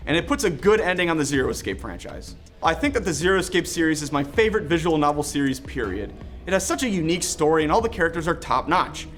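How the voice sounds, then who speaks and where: A man's voice: on the high side, a bit bright. A man narrating an enthusiastic scripted video game review. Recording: music playing behind the voice. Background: background music.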